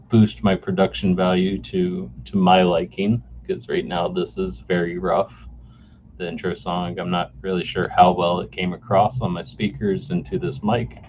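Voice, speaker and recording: A man in his forties hosting a solo podcast off the cuff, halting with many fillers and restarts, recorded on a camera mic indoors. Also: deep voice, a little mellow. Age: 40s. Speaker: podcast host. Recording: dull lo-fi camera mic sound with faint noise.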